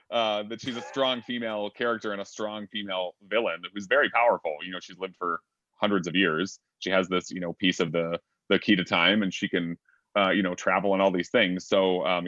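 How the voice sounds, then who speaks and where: A man on a video-call fan panel with a very low-pitched voice. His sound is muffled and dry, like a cheap webcam mic. He is agreeable and keeps chiming in with quick "yep"s. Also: sharp tone, no room sound. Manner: agreeable, chimes in with quick yeps. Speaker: convention panelist. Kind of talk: casual fan panel discussion.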